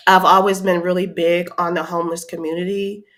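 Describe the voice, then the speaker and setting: A woman's voice: fairly low pitch, level tone, brisk, fairly bright tone. Congested woman with a cold, explaining. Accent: American Midwest. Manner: friendly, explanatory, with "um" fillers. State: stuffed up with a head cold. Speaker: housing counselor, podcast guest. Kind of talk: casual interview talk.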